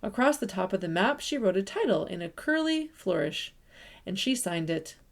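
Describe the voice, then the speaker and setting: A woman reads a children's picture book aloud, her voice at a middle pitch. She tells it expressively, leaning into the rhythm and sound of the words for young listeners. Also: normal speed, vocal fry. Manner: warm, expressive storytelling with lively emphasis. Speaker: children's story reader.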